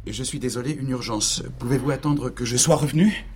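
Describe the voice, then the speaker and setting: An actor playing a male doctor handling an urgent phone call calmly and reassuringly in a radio drama. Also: medium pitch, brisk, soft background music, natural voice. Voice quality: calm, reassuring.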